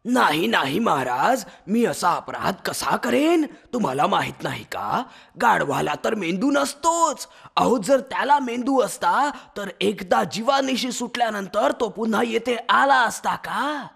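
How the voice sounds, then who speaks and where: A woman's voice: medium pitch, lively intonation, measured pace, gravelly, a bit bright, breathy edge, voice pushed down, heavily acted. A woman voicing a sly, scheming male fox who serves as the lion's minister, with a coaxing, sweet-talking manner. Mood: pleading. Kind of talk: storybook character voice.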